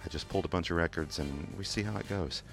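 A man with a Kentucky accent hosting a community radio music show from a studio, talking in a relaxed, rambling way with many "uh" fillers and restarts. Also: low-pitched, quick pace, vocal fry. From England. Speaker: radio DJ host. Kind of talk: casual radio DJ talk. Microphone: studio microphone.

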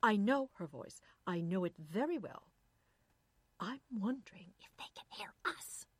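A woman's voice: fairly high pitch, big pitch swings, moderate pace, no echo, pitched above the performer's own voice. An anxious, curious wife from an audiobook story, acted by a woman. She speaks emphatically in a slightly raised voice, insistent and easily astonished, with a dry sound.

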